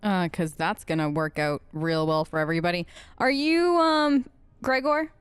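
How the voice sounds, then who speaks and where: A woman's voice: on the low side, moderate pace, clear, resonant tone, dry recording. A woman hosting a gaming video, improvising in-character replies in a sarcastic, conversational way on a close mic. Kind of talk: improvised in-character role-play let's play.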